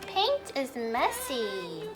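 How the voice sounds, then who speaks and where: A woman's voice: sits high, wildly swooping pitch, very slow, over loud music, pitched below the performer's own voice, exaggerated voice, poor audio. A woman voicing an eager, playful small child puppet in a children's show, chanting short simple sentences. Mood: bright, simple.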